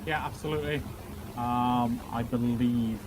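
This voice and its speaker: A man testifying as a witness in a roleplay court, talking formally and haltingly, often repeating words. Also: mid-range voice, steady tone, noisy background.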